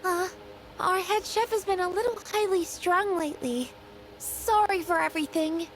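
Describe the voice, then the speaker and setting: A woman's voice: very high-pitched, normal speed, fairly bright tone, slight background noise. A woman playing a polite, apologetic young restaurant worker in an anime video game, meek and courteous. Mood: apologetic, grateful.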